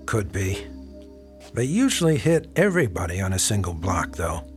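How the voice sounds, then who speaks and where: Dogged, wry police detective. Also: male voice, low voice, sing-song, normal speed, faint music behind. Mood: folksy probing. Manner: folksy, probing, casually insinuating. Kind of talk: radio drama acting.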